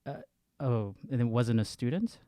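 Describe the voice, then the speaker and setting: A man's voice: medium pitch, lively intonation, moderate pace, dark tone, no echo, studio quality. A man playing a tabletop role-playing game, improvising his character's dialogue in a casual, conversational way.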